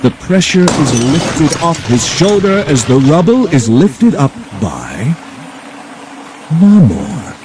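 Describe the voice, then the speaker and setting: A man's voice: fairly high pitch, very animated, slow, darkish tone, a little hiss or hum. A man narrating an adventure drama from a script, telling it in a dramatic storytelling style.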